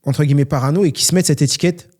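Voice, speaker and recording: A man's voice: fairly high pitch, racing, a little mellow, breathy edge. A young man from France, talking earnestly and motivationally on a solo question-and-answer podcast, with many fillers. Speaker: podcast host and trainer.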